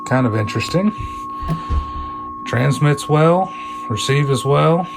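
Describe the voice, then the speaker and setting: A man's voice: on the high side, animated, takes it slowly, gravelly, over loud music. A man giving a casual hands-on equipment demonstration, folksy and colloquial, muttering to himself as he works. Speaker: video host reviewing a vintage CB radio.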